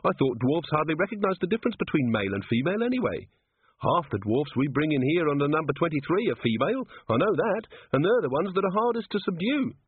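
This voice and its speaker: A man's voice: on the high side, big pitch swings, quick pace, husky, no echo, narrow, tinny audio, voice pushed up, exaggerated voice. A man voicing a wry, reassuring policewoman in a fantasy storybook reading. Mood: frank, explaining.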